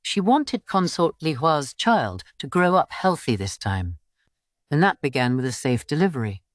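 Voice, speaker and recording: A woman's voice: low voice, big pitch swings, normal speed, no echo, studio quality. A woman reading scripted story narration for an audiobook.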